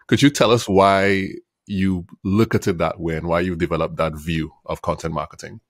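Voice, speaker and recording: A man hosting a podcast, calmly asking his guest questions into a studio mic. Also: deep voice, moderate pace, no echo. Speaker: podcast host. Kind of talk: interviewing. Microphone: studio mic and headphones.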